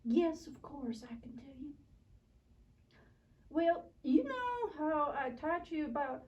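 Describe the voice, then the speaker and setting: Lady doing a super high puppet teacher lady, gentle n earnest, slow talker, recorded kinda far off. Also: very animated, highs cut off, slight background noise, full character voice. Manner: gentle, earnest, emphatic, simple explaining. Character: kind, enthusiastic female puppet teacher of prayer. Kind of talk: children's church puppet show.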